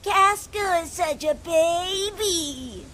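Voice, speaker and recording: A woman voicing a mean young deer bully in an animated comic dub, taunting in a mocking sing-song way. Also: extremely high pitch, very slow, a little hiss or hum, heavily acted.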